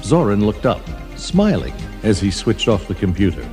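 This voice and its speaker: A man's voice: fairly low pitch, very animated, normal speed, a little mellow. A man narrating a scripted children's adventure story as the storybook's reader. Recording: 1980s storybook cassette with music underneath. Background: background music.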